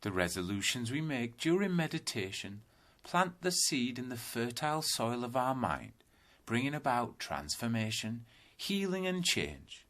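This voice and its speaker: A man guiding a yoga nidra meditation in calm, deliberate, instructional phrases with space between them. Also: medium pitch. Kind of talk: guided meditation narration.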